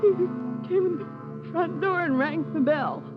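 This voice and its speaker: Young female crime victim: distraught, weepy, very high, dull vintage radio sound. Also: measured pace, music in the background. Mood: tearful, shaken. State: tearful, sobbing. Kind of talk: 1940s-50s radio melodrama acting.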